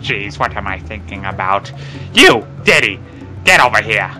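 A man's voice: lowish voice, very animated, normal speed, sharp tone, soft background music, exaggerated voice. A man voicing a cranky, foul-mouthed cartoon ape in an amateur comedy dub, ranting in an exasperated, strained way. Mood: scolding. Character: cranky, foul-mouthed old male ape and bumbling henchman.

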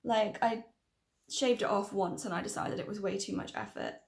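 A woman in her thirties with a croaky, tiring voice, chatting and correcting herself as she presents a casual tutorial to camera. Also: medium pitch, moderate pace, darkish tone, reverberant. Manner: chatty, rambling, self-correcting. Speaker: makeup tutorial vlogger. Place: small room indoors.

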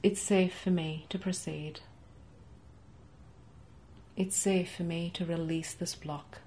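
A young woman coaching a guided tapping session from her home office, speaking in slow, soothing, rhythmic repeated phrases for the listener to echo. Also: on the low side, fairly even intonation, mellow, slight background noise. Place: home office with framed certificates, indoors.

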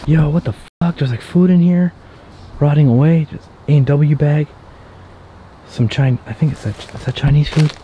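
A man vlogging as he explores outdoors, talking in a jittery, repetitive way with frequent swearing, often dropping into a hush. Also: on the high side, normal speed, slightly rough. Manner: jittery, breathless, heavy swearing, repeats phrases. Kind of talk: whispered and hushed first-person exploration vlog. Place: outdoors at an abandoned campsite. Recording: noisy outdoor handheld ambience.

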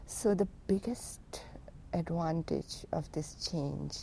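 Musing woman with a low, mellow voice. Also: gravelly, slight background noise, rough recording. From India. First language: Hindi. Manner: slow, musing, halting with restarts. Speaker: guest painter and poet. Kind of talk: reflective studio interview answers. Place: TV studio set with sofa.

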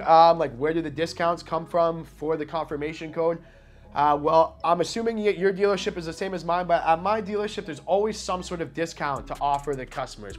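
Higher-pitched man giving informal sales coaching. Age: 30s. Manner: direct, coaching, acts out sample phone exchanges. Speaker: car sales trainer and salesman. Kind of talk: informal instructional talk to camera. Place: small office, indoors. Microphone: lapel mic.

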